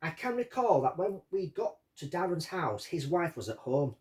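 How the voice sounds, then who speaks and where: Distant-miked man with lively, higher pitch. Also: normal speed. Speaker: host of a true-crime case series. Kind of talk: reading out a witness statement, with commentary. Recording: distant from the microphone.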